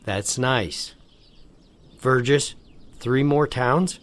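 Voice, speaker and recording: A man's voice: medium pitch, extremely slow. A man playing a stern, emotionally distant general and father in an audio drama, with a clipped, commanding manner.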